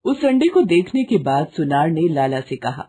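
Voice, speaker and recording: Low, husky woman narrating children's stories. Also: sing-song, moderate pace, warm tone. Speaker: storyteller narrator. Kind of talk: scripted children's story narration.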